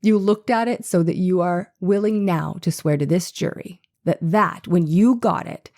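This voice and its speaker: Woman as a mellow, low-voiced probing defense attorney. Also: moderate pace, close to an everyday voice. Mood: insistent. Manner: probing, pointed questioning. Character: persistent, skeptical male defense attorney cross-examining a witness. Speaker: actor reading a defense attorney's part. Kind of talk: dramatized courtroom transcript reading.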